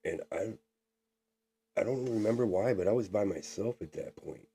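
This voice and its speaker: A man's voice: on the low side, moderate pace, dark tone, slight background noise. A man in his forties co-hosting a podcast, chatting casually and drawling, often tagging lines with "man". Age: 40s. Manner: drawling, says "man" a lot. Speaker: podcast co-host. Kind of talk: casual chat.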